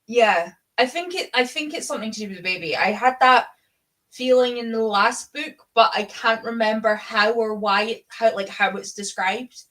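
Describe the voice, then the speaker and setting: A woman's voice: a little high, normal speed, fairly bright tone. A woman with a Scottish accent chatting casually and fannishly about books as host of a live readalong, with the odd swear word. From Scotland. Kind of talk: casual book chat.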